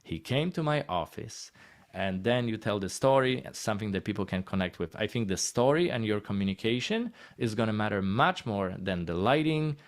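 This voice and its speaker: A man's voice: on the low side, expressive, normal speed. A man with a Polish accent giving a casual, encouraging presentation in short conversational phrases. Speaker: guest speaker on healthcare marketing.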